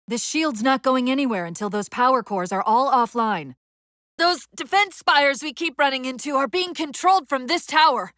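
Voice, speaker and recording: A woman voicing a video game's female AI companion, an urgent, wry military guide with crisp, technical, commanding delivery. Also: on the high side, a bit bright, no echo, clean studio sound. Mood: focused. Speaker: video game voice actor. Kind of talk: video game dialogue, tactical callouts.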